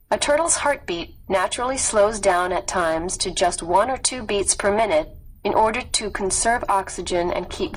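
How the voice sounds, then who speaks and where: A woman narrating a scripted nonfiction story in a measured, clearly read delivery. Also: medium pitch, a little hiss or hum, rough recording. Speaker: audiobook narrator.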